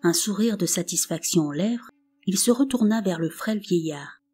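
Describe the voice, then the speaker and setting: A woman narrating a folk tale in a calm, measured storybook reading with soft phrase endings. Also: medium pitch, slightly rough. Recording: narration over faint background music.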